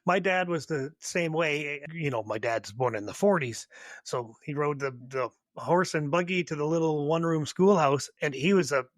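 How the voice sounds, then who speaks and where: A man's voice: a little high, moderate pace, dry recording, studio quality. A man from Canada hosting a podcast, trading easygoing stories in casual chat. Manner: relaxed, storytelling, agreeable. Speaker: podcast host.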